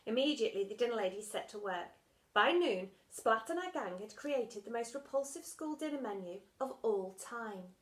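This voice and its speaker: A woman reading a children's storybook aloud like a teacher, dramatic and expressive, punching the sound words. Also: on the high side, moderate pace.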